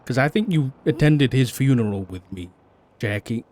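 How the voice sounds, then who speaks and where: A man playing a serious, patient lawman in an improvised roleplay phone conversation, speaking in measured, terse phrases. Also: medium pitch, animated, a little mellow, natural voice. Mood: probing, reproachful. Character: sober, patient lawman questioning a fallen former colleague.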